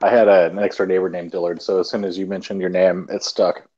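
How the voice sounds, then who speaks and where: A man's voice: low voice, little pitch movement, fast talker, warm tone. Hesitant man on a dull call. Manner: hesitant, searching for words with fillers. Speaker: guest. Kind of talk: casual online chat. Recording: dull lo-fi online call audio.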